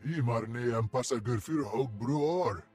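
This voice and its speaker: A man voicing a husky alien contact in a video game, speaking deliberately in an invented tongue. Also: mid-range voice, darkish tone, soft background music. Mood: guarded, deliberate.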